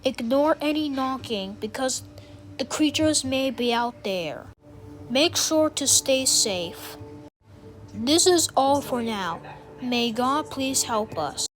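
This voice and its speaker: A female announcer delivers a scripted emergency alert in a high-pitched voice. Her reading is extremely slow and deliberate, with formal, instructional phrasing throughout. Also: expressive, faint music behind.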